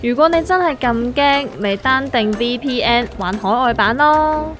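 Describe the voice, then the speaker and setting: A woman's voice: fairly high pitch, unhurried, fairly bright tone, faint music behind. A woman with a Hong Kong Cantonese accent playing a sensible, inquisitive friend in a comedic audio drama, questioning and wry. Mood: surprised, teasing.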